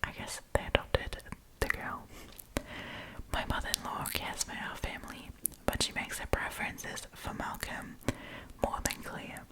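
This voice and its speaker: Female ASMR storytime host, fully whispered delivery, soft and informal. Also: a little slow, poor audio. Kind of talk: whispered ASMR story reading with casual asides.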